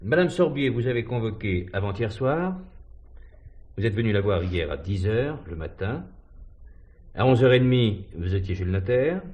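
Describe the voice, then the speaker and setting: An actor playing a stern police commissioner who questions formally and insistently, in a 1970s French radio drama. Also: male voice, fairly low pitch, big pitch swings, moderate pace, highs cut off. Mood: probing, dry.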